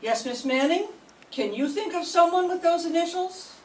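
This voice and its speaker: An older woman reading the part of a calm, shrewd police inspector in an old-time radio mystery, with deliberate, measured questioning. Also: very high voice. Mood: stern, probing. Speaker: student in a radio drama class. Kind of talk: 1940s radio mystery acting. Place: classroom. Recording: echoey room, distant mic, faint hiss.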